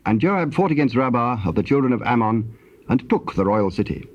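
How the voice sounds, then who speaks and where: A man with a husky voice narrating scripture in a solemn, scripted style. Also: medium pitch, lively intonation, brisk. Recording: dull, lo-fi tape sound.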